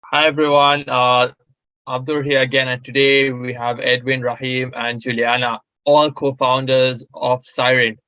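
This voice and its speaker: A man's voice: mid-range voice, little pitch movement, measured pace, bright, forceful tone, clean tone, dry recording, muffled recording. A young man hosting a podcast interview in a casual, hesitant way, with frequent fillers and restarts. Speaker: podcast host.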